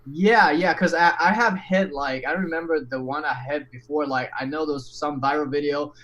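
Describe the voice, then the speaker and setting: A man, an English speaker, telling his story as a podcast guest in an animated, candid way with frequent restarts and casual swearing. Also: fairly high pitch, normal speed, crowd noise, poor audio. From Taiwan.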